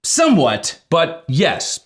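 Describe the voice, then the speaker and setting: Animated, higher-pitched man explaining tech. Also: slow. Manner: chatty, informal, emphatic. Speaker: tech video presenter. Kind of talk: explainer vlog to camera.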